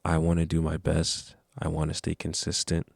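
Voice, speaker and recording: A young man of nineteen speaks to his camera in an earnest, rambling way, full of restarts. His voice sits very low with a gravelly fry and little rise and fall. The sound is dry and close. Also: monotone, moderate pace, no echo. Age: young adult. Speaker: vlogger. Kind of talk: casual talk to camera.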